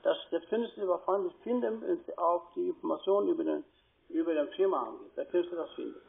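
A man with a foreign accent, a non-native speaker, talking haltingly and repetitively on a sales call. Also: high voice, vocal fry. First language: not German. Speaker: call-centre trader. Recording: tinny narrowband phone line.

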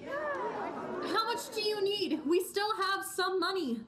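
A woman's voice: animated, slow pace, full character voice. A young woman acting a generous, wistful young wife in a radio play, speaking earnestly. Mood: earnest generosity. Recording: crowd and rumbling background noise.

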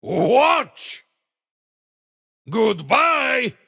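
A man voicing a big, blustery alien mad scientist in a racing video game, with a Russian accent and boastful, theatrical exclamations in a gravelly rasp. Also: very high voice, sing-song, extremely slow, pushed and bright, exaggerated voice. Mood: excited. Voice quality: gravelly, raspy. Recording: long reverb tail.

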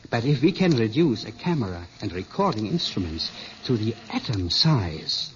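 An actor playing an elderly, absorbed scientist and father in an old radio drama, speaking in a deliberate, hesitant lecturing way. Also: lowish voice, big pitch swings. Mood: earnest, lecturing. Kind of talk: 1950s radio drama acting. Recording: muffled old radio recording with hiss.

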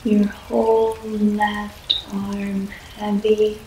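A woman leading a guided yoga nidra relaxation in a soft, soothing voice, with long pauses between short phrases. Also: medium pitch, monotone. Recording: echoey room, faint background noise.

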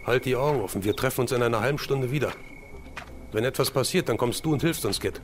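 A man's voice: medium pitch, fast, a little hiss or hum, lightly acted. A man playing a determined, serious crime-fighting hero in an audio drama. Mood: focused. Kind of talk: German fan audio drama acting.

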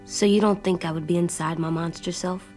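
A woman's voice: lowish voice, fairly even intonation, a little fast, a little mellow, soft background music, close to an everyday voice. A woman voicing an earnest, stubborn little boy in an apocalypse video game, with a childlike, plain-spoken, solemn delivery. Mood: insistent. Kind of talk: naturalistic video game acting.

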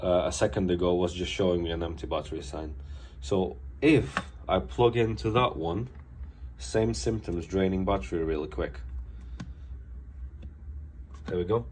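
A man's voice: sits low, moderate pace, darkish tone, a little hiss or hum. A man with a cold explaining a phone repair at his workbench in a casual, plain way. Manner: plain, explanatory, some restarts. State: has a cold. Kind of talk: casual hands-on repair demo. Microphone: phone camera held over bench.

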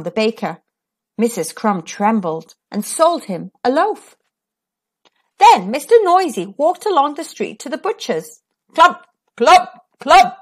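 A woman reading a children's picture book aloud, with expressive sound effects. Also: a little high. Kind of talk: storybook read-aloud.

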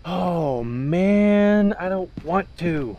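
A man's voice: high-pitched, animated, extremely slow, dull audio. Jokey man streaming over noisy game audio. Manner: jokey, profane. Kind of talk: casual live co-op horror game commentary.

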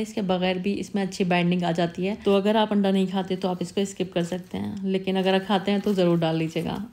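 A woman calmly narrating a step-by-step cooking recipe as the host of her channel, repeating tips patiently. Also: on the low side.

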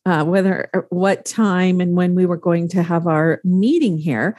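A woman's voice: fairly low pitch, measured pace, a little mellow, no echo, studio quality. A middle-aged woman hosting a podcast interview over a video call, enthusiastic and encouraging. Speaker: podcast host.

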